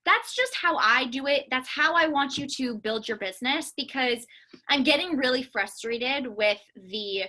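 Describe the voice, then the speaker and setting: A woman coaches a team in a high, bright voice. She is earnest and emphatic, leaning on key words and repeating phrases to drive them home. The delivery is that of a motivational business talk. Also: expressive, measured pace, rough recording.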